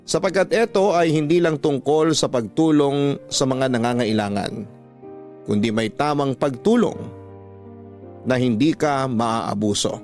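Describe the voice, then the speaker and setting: A Filipino man hosting a radio story program, narrating scripted drama in a measured, deliberate way with drawn-out phrase endings. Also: medium pitch, a little mellow. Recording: dry studio voice over clear background music. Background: background music.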